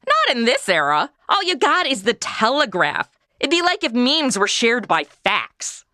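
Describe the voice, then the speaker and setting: A woman's voice: fairly high pitch, huge pitch swings, a little slow, pushed and bright, no echo, clean studio sound, heavily acted. A woman playing a sarcastic, wisecracking modern young woman in an old west comedy audio drama. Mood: wry. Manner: sarcastic, quippy. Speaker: title character.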